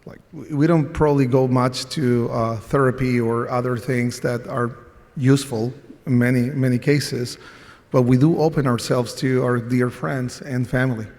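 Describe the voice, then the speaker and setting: Chilean man on a conference panel, earnest and reflective, mid-pitched voice, echoing hall. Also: fairly even intonation, normal speed, darkish tone. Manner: reflective, earnest, with frequent restarts. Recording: long reverb of a conference hall.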